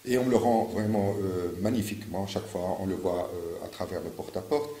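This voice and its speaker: A man in his fifties from Morocco answers campaign questions, pausing often and restarting his sentences. His voice is low and gravelly with a mellow tone. The recording sits in an echoey room with faint ambience. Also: fairly even intonation. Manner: hesitant, many fillers and restarts. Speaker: local election candidate. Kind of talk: campaign interview.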